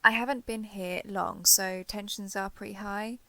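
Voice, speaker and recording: A woman acts out a shy villainess who has reluctantly changed sides. Her voice is bright, with noticeable fry at the edges. She talks in a guarded, hesitant way, as in an intimate audio roleplay, recorded dry with no room around her. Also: medium pitch, steady tone, no echo. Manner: hesitant, halting, reserved, understated. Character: shy, guarded young female villain turned reluctant ally. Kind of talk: roleplay audio drama, intimate one-sided dialogue.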